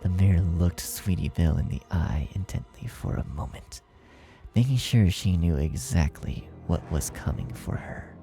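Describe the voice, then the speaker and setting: Low, gravelly male horror story narrator. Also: expressive, measured pace, mellow. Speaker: narrator, fan fiction reader. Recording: music behind the narration. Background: music.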